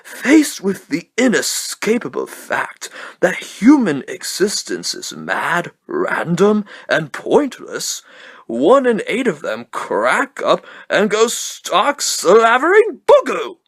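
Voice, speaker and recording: Mad clown supervillain: slow, sardonic showman delivery, huge pitch swings, cartoon menace, dry close sound. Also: huge pitch swings, a bit bright, slightly rough, no echo, full character voice. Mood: manic, sardonic.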